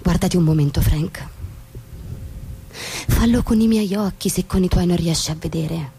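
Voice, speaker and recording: An actress playing a weary, bitter young suburban wife in an Italian radio stage drama, curt, controlled and biting. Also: female voice, fairly low pitch, normal speed, vocal fry, dark tone, slight background noise. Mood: cold scorn.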